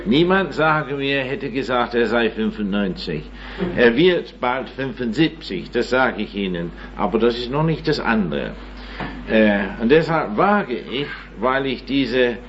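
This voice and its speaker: A very old man in his nineties lectures slowly and unhurriedly, with plenty of pauses and hesitations. He is self-mocking and rambling, forever adding tag questions. The recording sounds dull, with a faint hum underneath. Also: mid-range voice. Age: 90s. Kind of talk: informal lecture to an audience.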